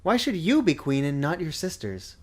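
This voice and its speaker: A man voicing a serious, thoughtful young dragon in an earnest, measured way for an animated fan dub. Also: a little high.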